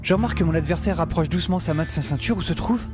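An actor playing a wry, excitable young male survivor narrating his wasteland adventure in a wordy, theatrical, self-mocking way for an audio saga. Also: mid-range voice, racing, natural voice. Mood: awed, wry. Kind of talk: dramatised first-person audio saga narration. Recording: loud music bed under the voice.

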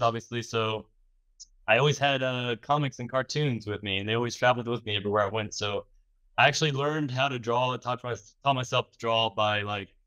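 A man's voice: on the low side, a bit bright, muffled recording. A man in his forties from the southern United States, giving a casual, rambling, self-deprecating talk with many fillers at a symposium. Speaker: presenter at a symposium. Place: lecture room.

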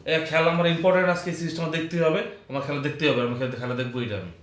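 A man's voice: a little high, rapid-fire, natural voice. A man playing a stubborn, sports-mad husband in a home comedy skit, arguing and repeating himself. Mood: insistent. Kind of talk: amateur domestic comedy skit. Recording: roomy home echo.

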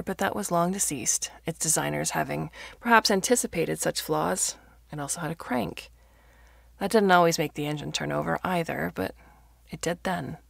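Female narrator for short fiction: gravelly, dry close recording, measured storytelling from a script. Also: lowish voice, dry recording.

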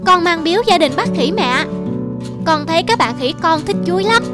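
A woman voicing a playful, careless young leopard cub in a children's cartoon, childlike and expressive. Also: very high voice, a little fast, loud music behind the voice. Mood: eager.

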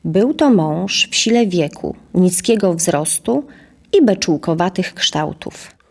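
A woman narrating a scripted audio drama as storyteller, in a measured, literary manner. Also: lowish voice, animated, gravelly, slightly rough.

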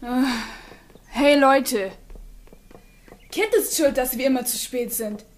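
A woman's voice: high-pitched, takes it slowly. A woman playing an exasperated, nervous teenage girl in an anime audio drama, with a nagging big-sister manner.

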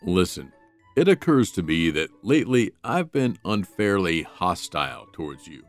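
A man voicing a gruff, stern German shepherd guard dog in a comic dub, blunt and deadpan. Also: sits low, normal speed, soft background music. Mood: stiff, apologetic. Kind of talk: comic dub character acting.

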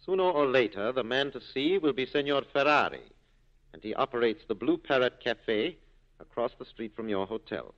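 An actor playing a courteous, politely cynical police captain in a 1940s radio drama. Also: male voice, medium pitch, lively intonation, moderate pace, a bit bright, close to an everyday voice. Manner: courteous, smooth-talking, dry. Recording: dull 1940s radio recording.

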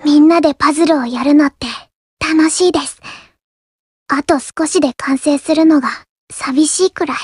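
A woman voicing a shy, polite, earnest young girl from a coffee house in an anime mobile game, soft-spoken and reserved. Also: sits high, normal speed, no room sound, clean studio sound, close to an everyday voice. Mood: gently pleased. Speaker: game character voice actor. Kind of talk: scripted anime mobile game character lines.

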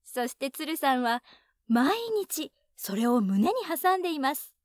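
A woman narrating a children's storybook in an expressive, clearly phrased read-aloud style. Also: high voice, measured pace, no room sound, studio quality. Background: children's background music.

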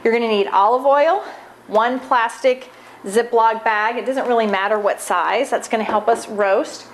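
A woman's voice: on the high side, moderate pace. A woman in her forties hosting a casual cooking demonstration in a large home kitchen, chatting upbeat while she preps food with her hands. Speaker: cooking show host. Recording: audible background noise.

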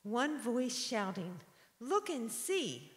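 A woman's voice: fairly high pitch, very animated, slow. A woman giving a responsive liturgical reading at a church lectern. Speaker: liturgy reader. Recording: church hall reverb.

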